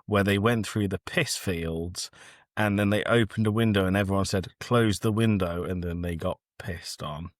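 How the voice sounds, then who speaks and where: A man's voice: low-pitched, moderate pace, no room sound, studio quality. A man co-hosting a podcast in casual film review banter, blunt, sweary and facetious. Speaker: podcast co-host.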